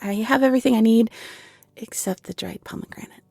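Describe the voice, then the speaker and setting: A woman's voice: on the high side, a little fast, vocal fry, dark tone, breathy edge, no echo. A woman in her twenties playing a shy, flustered half-fairy tea shop keeper in an audio roleplay, with a nervous, stammering, giggly and apologetic way of talking. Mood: hesitant, hopeful. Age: 20s. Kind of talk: scripted audio roleplay, intimate and conversational.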